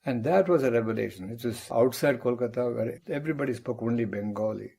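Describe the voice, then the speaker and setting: Older man, mellow and husky. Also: medium pitch. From Tamil Nadu, India. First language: Tamil. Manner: measured, reflective recounting with wry asides. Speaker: guest professor. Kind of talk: personal storytelling interview.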